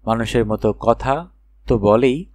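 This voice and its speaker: A man narrating a children's fairy tale in an expressive storytelling manner with dramatic phrasing. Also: medium pitch, unhurried, no room sound. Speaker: audiobook narrator.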